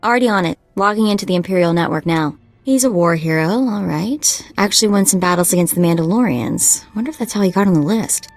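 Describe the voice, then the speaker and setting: A woman's voice: fairly low pitch, fast, hardly any pauses, faint music behind, close to an everyday voice. A woman playing a keen young hacker sidekick in a video game, talking in a breezy, informal way. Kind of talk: video game cutscene acting.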